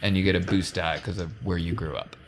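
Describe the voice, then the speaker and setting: A man's voice: deep voice, steady tone, fast, heavy background noise. A man acting as game master, narrating a tabletop roleplaying game in a casual, conversational way. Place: tabletop podcast recording.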